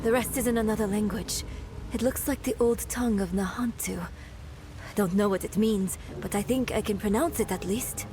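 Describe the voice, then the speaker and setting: A young woman playing an earnest, troubled traveler in a dramatic video game, soft-spoken and hesitant. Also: mid-range voice, moderate pace, noisy background, natural voice. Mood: puzzled, thoughtful. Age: young adult. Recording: loud background music.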